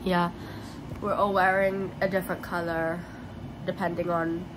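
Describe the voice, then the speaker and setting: A woman's voice: on the low side, level tone, unhurried, background noise. A young woman chatting casually as a vlogger, talking to camera and to the people around her. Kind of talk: casual vlog chat.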